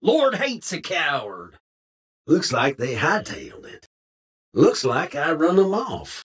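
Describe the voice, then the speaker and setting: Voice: mid-range voice, huge pitch swings, slow pace, husky, full character voice. A drawling old-West cowboy. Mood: taunting. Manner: exaggerated frontier drawl with old Western slang. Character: folksy cowboy NPC, feisty and colloquial. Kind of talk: video game character voice lines.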